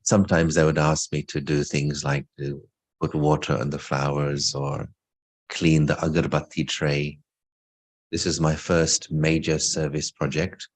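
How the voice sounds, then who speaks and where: Very deep-voiced man, informally reminiscing. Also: flat delivery, a little slow. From England. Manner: reflective, anecdotal, lightly humorous. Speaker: spiritual speaker. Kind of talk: informal talk sharing personal story.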